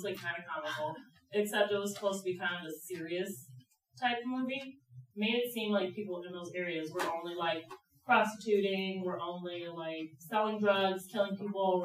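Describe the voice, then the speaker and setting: A young woman speaking casually as a student in a classroom discussion, using lots of fillers. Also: medium pitch. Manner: casual, many likes. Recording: echoey classroom from far off.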